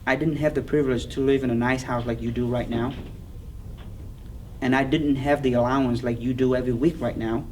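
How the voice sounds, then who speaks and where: A middle-aged man with a Vietnamese accent, speaking earnestly in an oral history interview, halting, with many fillers. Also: medium pitch, fairly even intonation, a little mellow. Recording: distant mic with clear background noise.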